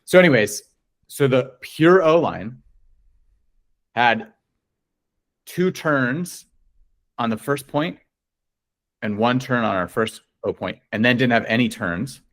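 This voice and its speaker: A man's voice: medium pitch, lively intonation, slow, pauses often, fairly bright tone. A man of forty talking casually as a guest in an interview. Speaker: interview guest, coach and player. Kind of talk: casual chat.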